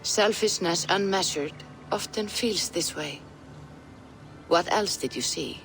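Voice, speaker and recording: An actor playing a gentle, curious sage in a video game, speaking thoughtfully and inquisitively. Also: male voice, high voice, slow, soft background music, heavily acted. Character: curious, gentle male sage questioning a warrior.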